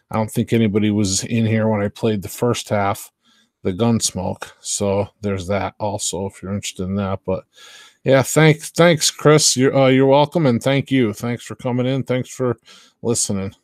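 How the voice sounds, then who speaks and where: A man's voice: mid-range voice, darkish tone, no echo. Man chatting off the cuff, close and dry. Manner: hesitant, unpolished, trailing off. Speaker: live stream host. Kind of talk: casual rambling chat with viewers.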